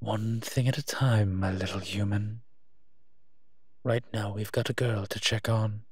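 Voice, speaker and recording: A man playing a wry, teasing monster who partners a human detective in a roleplay audio drama, speaking with hums and pauses. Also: lowish voice, fairly even intonation, breathy edge, dry recording. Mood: calm, focused.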